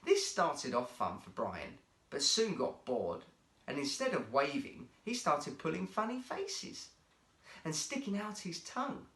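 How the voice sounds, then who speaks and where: A man narrating a children's story as a guest storyteller, in an animated, theatrical manner. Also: fairly high pitch, measured pace. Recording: roomy, echoey sound.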